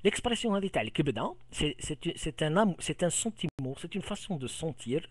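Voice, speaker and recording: A middle-aged man from Algeria's Kabyle region with a Kabyle accent, explaining things to a viewer into a camera mic, halting and repeating words as he searches for them. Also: a little high, sing-song, no echo. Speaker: vlogger. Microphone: camera microphone.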